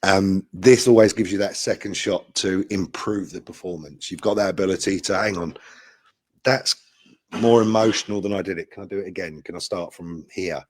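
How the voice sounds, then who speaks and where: A man's voice: sits low, fairly even intonation, moderate pace, dry recording. A man who works as an actor, answering interview questions casually in a thoughtful, explanatory way. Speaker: actor with a theatre background.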